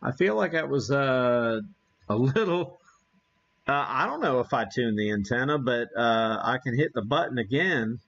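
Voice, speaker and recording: A man hosting a live hobby stream, giving a casual demo and thinking aloud in a halting way. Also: mid-range voice, expressive, a bit bright, highs cut off. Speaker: ham radio live stream host.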